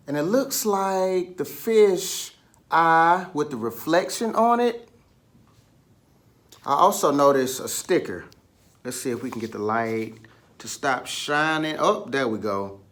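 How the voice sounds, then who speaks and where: A man's voice: fairly high pitch, animated, slow, pauses often, a bit bright. A man leading a picture book read-aloud lesson for children, instructing, asking questions and prompting listeners along.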